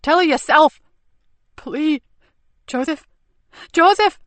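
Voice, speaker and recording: A woman voicing a fierce female police detective, talking loudly and forcefully. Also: high voice, unhurried, no room sound, pitched above the performer's own voice. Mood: desperate. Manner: loud, forceful, desperate.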